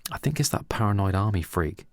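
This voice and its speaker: A man's voice: fairly low pitch, lively intonation, fairly quick, dry recording, clean studio sound. A man voicing a sarcastic, mischievous young man with a mocking way of talking.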